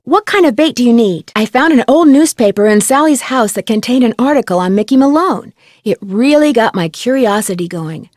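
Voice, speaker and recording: A young American woman playing a curious, polite, plucky amateur detective in an adventure game, speaking in a clear, earnest way. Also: on the high side, a little mellow, dry recording, clean studio sound, lightly acted. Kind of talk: scripted adventure game voice acting.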